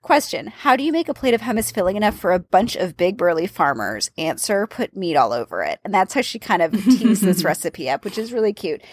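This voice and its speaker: A woman's voice: mid-range voice, big pitch swings, brisk, hardly any pauses, breathy edge, no room sound. A woman chatting casually, walking through a recipe step by step. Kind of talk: casual chat about cookbooks.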